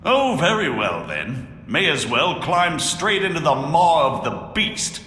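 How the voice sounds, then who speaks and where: Sly sardonic medieval villain noble, really swingy pitch, hall reverb. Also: male voice, sing-song, takes it slowly, bright, forceful tone, full character voice. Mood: defiant, resigned. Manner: sardonic, theatrical. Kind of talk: video game cutscene acting.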